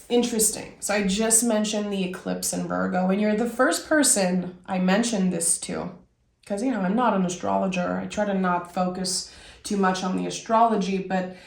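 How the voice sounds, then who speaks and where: A woman gives an unhurried tarot reading, her voice raspy from a cold. She wanders thoughtfully from point to point, and her voice rings in an echoey room. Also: mid-range voice, a little mellow. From Québec. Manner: reflective, meandering, with fillers. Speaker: tarot reader. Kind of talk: casual spoken tarot reading.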